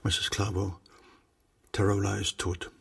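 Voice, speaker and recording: An actor playing a sober, probing investigator in a German radio drama, measured and gravelly in his questioning. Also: on the low side, monotone, fairly bright tone, full character voice. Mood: serious, admonishing. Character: sober, probing male investigator Blackshire, persistent and calm.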